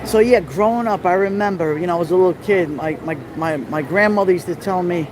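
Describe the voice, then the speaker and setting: High-voiced New York man live-streaming outdoors. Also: fast. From New York City. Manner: chatty, greets viewers by name, rambling asides. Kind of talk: casual walking tour live stream. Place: outdoor city street during a festival, raining. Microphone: handheld phone camera. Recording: street noise around a phone mic.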